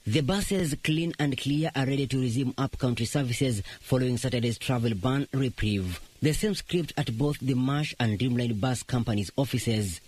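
Clear-voiced reporting by a husky Kenyan man. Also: mid-range voice, moderate pace, few pauses, a little mellow. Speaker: news reporter. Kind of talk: scripted news narration.

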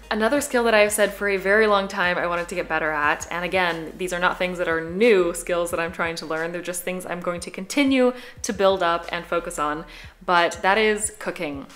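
A young woman talks to her camera from a living room sofa, chatty and upbeat. She speaks quickly, with a slightly low voice for a woman, and sounds relaxed and conversational throughout. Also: faint music behind. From Midwest USA. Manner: chatty, upbeat, gestures while talking. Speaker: vlogger. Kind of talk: casual talk to camera.

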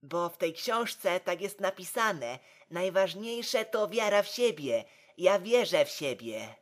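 A woman playing a hapless, meek but stubborn young man in an amateur comedy drama, speaking in a flat, unconvincing way. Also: fairly low pitch. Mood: meek, determined. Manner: unconvincing, flat, slow delivery.

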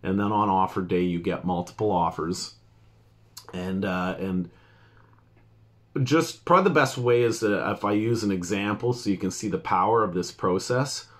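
Male realtor, 40s, fairly low voice, conversational and emphatic explainer delivery. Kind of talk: informal explainer talk to camera. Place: small indoor office.

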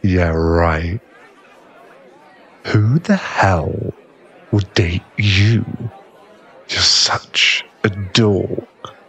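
Male actor as young werewolf barkeep, boyfriend audio drama. Lowish, unhurried, halting voice, teasing with a soft heart, faint bar crowd behind. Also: breathy edge, heavily acted. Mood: mocking, smug. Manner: slow, halting, stammering delivery. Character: gruff young male werewolf bar owner, teasing but secretly tender.

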